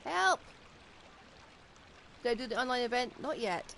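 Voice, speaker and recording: A woman's voice: fairly high pitch, animated, measured pace, gravelly, a bit bright, a little hiss or hum. A woman streaming a game with casual, exasperated commentary, blurting out her reactions expressively. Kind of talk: casual live gaming commentary.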